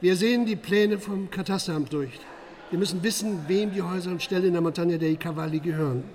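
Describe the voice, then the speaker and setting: An actor playing a gruff, driven police squad leader briefing his team in a brusque, commanding way in a radio crime drama. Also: high-pitched, fast, a little mellow, natural voice.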